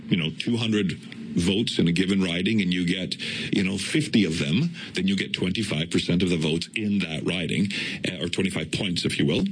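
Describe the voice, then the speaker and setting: A middle-aged Canadian man, a political candidate, giving deliberate, explanatory answers in a radio interview. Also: slightly rough, low-quality recording. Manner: deliberate, explanatory, campaign-pitch delivery.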